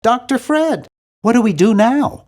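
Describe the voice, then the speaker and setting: A man voicing a nerdy, earnest and anxious young hero in a video game, with a fussy, animated delivery. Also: very high-pitched, a little slow. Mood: insistent. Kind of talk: video game character voice lines.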